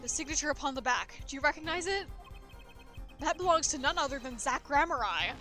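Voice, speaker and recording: A woman's voice: sits high, expressive, a little fast, sharp tone, faint music behind. A woman voicing a proud older male stage magician who talks in grand, old-fashioned phrases. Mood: grave.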